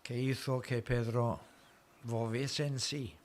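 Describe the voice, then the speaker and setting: Slow-paced male preacher with a foreign accent. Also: mid-range voice, steady tone, slight background noise. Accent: foreign accent in Spanish. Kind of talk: expository sermon.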